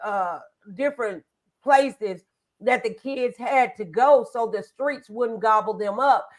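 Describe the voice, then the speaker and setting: A woman's voice: a little high, slow. A woman hosting a motivational talk show, speaking in an earnest, emphatic, conversational way.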